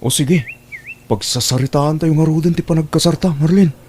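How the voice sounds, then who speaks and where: A man's voice: a little high, moderate pace, darkish tone, faint music behind, lightly acted. A man playing an earnest, devoted suitor in an Ilocano radio drama. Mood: hopeful.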